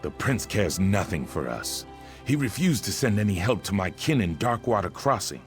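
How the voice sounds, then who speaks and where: Deep, solemn reptilian warrior. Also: male voice, very animated. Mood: bitter, proud. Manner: solemn, deliberate, resentful. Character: proud, aggrieved male reptilian warrior from a swamp people. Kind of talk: video game character dialogue. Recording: music behind the voice.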